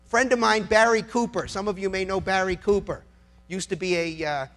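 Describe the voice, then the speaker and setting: High-pitched, gravelly American man giving an impassioned speech. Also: fairly bright tone. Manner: emphatic, dramatic, rhetorical questioning. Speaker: public speaker, lawyer. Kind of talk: impassioned political speech to an audience.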